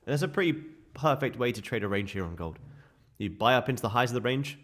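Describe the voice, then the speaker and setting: A man with a sore, croaky throat, casually walking viewers through live trading charts. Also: medium pitch, expressive, fast, a bit bright. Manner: casual, chatty explaining to viewers. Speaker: day trading streamer.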